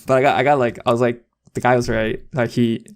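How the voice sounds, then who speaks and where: A young man with noticeable vocal fry in a mid-range voice. He co-hosts a podcast and talks informally, wandering through anecdotes in loose slang. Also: medium pitch, little pitch movement, fast. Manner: informal, rambling. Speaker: podcast co-host. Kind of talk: casual chat, anecdotes with slang.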